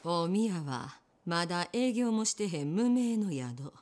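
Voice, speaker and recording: An actress playing a poised, determined innkeeper with a Kyoto accent in a period game drama, genteel, polite and deliberate. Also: female voice, on the low side, animated, a little mellow. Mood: composed.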